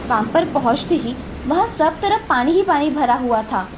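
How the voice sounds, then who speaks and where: A woman's voice: sits high, animated, moderate pace. A woman narrating a scripted moral story as a storyteller. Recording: clearly noisy, with ambience behind.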